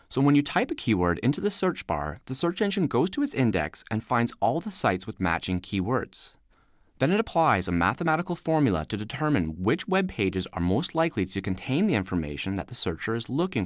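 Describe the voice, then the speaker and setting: Animated male instructional narrator, dry sound. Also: mid-range voice, no room sound. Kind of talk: scripted instructional narration, voice-over demo.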